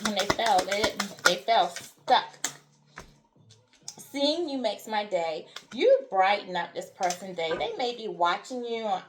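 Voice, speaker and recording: A woman giving a spoken tarot reading to her viewers, repeating herself and checking in with "all right?" and "okay?", her voice going hoarse. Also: mid-range voice, a little hiss or hum. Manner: repetitive, reassuring with frequent "all right? okay?". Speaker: tarot card reader.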